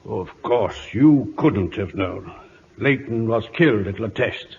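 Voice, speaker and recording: Husky, blustering old British admiral. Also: male voice, fairly low pitch, lively intonation, takes it slowly, a little mellow, exaggerated voice. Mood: gruff, fond. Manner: blustering, emphatic. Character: gruff, blustery older British admiral, fond underneath. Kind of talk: old-time radio drama acting. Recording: muffled old radio broadcast, reverberant.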